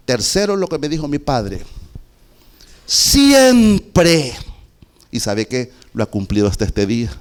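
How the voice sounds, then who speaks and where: A middle-aged man with a Salvadoran accent preaching a testimony sermon in a church, slow and emphatic, with sudden shouted peaks. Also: mid-range voice. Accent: Salvadoran Spanish.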